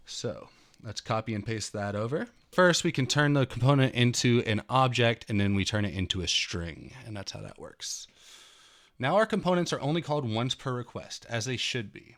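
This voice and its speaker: A man in his thirties reading a technical programming tutorial aloud in an expressive, slightly dramatic way. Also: fairly high pitch. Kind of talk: read-aloud technical walkthrough with spoken asides.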